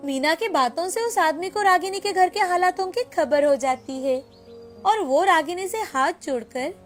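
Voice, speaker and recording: A woman's voice: very high voice, animated, normal speed, faint music behind. A woman narrating a scripted moral story as storyteller.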